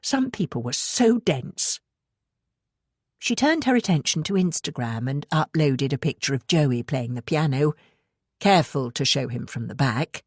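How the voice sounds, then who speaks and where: A woman with a husky voice reading a novel aloud in a measured, expressive storytelling manner. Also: low voice, dry recording, studio quality. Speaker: audiobook narrator. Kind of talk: scripted fiction narration.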